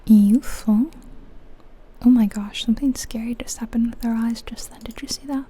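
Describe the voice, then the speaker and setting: A woman whispering softly and breathily in an ASMR style, gently musing aloud as she comments on a video game. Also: a little high, steady tone, normal speed, breathy edge.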